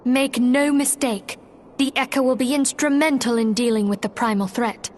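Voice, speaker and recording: An actress voices the earnest female leader of a secret fantasy order in a video game. Her voice sits a little high and is serene and poised, with solemn archaic wording. There is faint noise in the background. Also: steady tone, normal speed, a little mellow, natural voice. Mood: grave, resolute.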